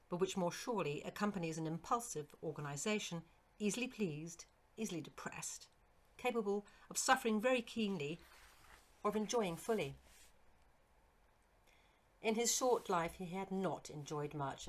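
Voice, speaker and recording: A woman reading a Victorian horror story as scripted narration, in an expressive, literary storytelling manner. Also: medium pitch. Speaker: narrator, audiobook reader.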